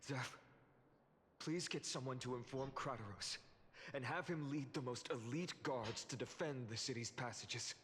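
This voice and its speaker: An actor voicing an earnest young male leader in a video game, speaking in a sincere, measured way. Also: medium pitch, a bit bright, a little hiss or hum, natural voice. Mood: commanding. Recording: game audio with music and reverb.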